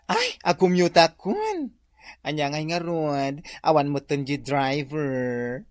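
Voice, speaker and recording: Dramatic ilocano gossipy friend, radio comedy, teasing, big pitch swings, very dry close sound. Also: female voice, big pitch swings, takes it slowly, no room sound, full character voice. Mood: fretful, exclaiming. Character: teasing, chatty, matchmaking female friend.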